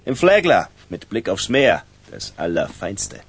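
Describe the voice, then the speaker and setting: An actor playing a showy, brash son of a mobster in a radio drama, speaking in a breezy, boastful, clipped way. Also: male voice, lowish voice, huge pitch swings, a little slow, breathy edge, heavily acted. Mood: breezy boasting. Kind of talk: German radio crime drama acting.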